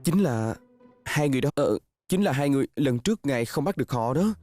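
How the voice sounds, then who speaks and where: A man's voice: medium pitch, lively intonation, fast, a little mellow, dry recording, lightly acted. A man playing a deferential young subordinate in a dubbed fantasy costume drama, stammering and hesitant as he speaks. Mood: nervous deference.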